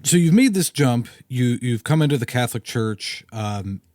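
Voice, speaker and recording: A man hosting a podcast, asking a guest questions in a hesitant, halting way. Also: medium pitch, expressive, darkish tone, no room sound, clean studio sound. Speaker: podcast host. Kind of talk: interview questions.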